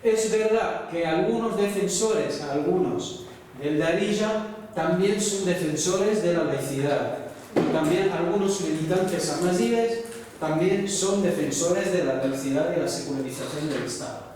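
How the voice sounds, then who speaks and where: A man's voice: high-pitched, a little slow, darkish tone, a little hiss or hum. A man lecturing a university class and taking questions, who keeps checking in with tag questions. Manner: explanatory, frequent "¿no?" tag questions. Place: large echoey classroom. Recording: echoey hall, voice from far off.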